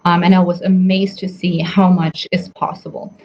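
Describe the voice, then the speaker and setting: A woman's voice: lowish voice, flat delivery, moderate pace, a little mellow. Woman in her forties, chatty livestream nutrition coach, dull lo-fi mic. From Germany. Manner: chatty, many ums and restarts. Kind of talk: casual live stream teaching. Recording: slightly dull audio with faint noise.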